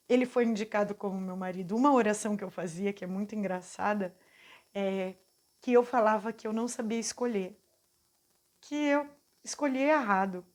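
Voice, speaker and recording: A woman's voice: a little high, a little mellow. Earnest Brazilian woman in her forties. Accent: Brazilian Portuguese. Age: 40s. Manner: earnest and heartfelt, halting with restarts. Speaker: channel host giving a testimony. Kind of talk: personal faith testimony.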